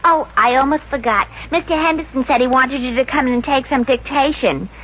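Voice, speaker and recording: A slightly noisy, muffled old radio recording. A woman plays a breezy American switchboard operator with a fairly high voice, chatty and gossipy in vintage comedy style. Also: fairly quick, natural voice. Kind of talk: 1950s radio comedy acting.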